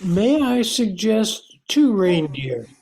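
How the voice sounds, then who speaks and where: Woman as a slow, ceremonious alien counselor. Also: medium pitch, sing-song, darkish tone. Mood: formal. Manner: formal, deliberate. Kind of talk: amateur radio play acting. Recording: noisy amateur recording with clicks.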